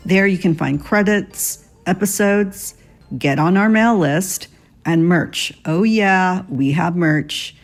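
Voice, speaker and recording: A woman's voice: on the low side, slow pace, soft background music. A woman giving a scripted podcast announcement as the show's creator. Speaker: podcast creator, writer and producer.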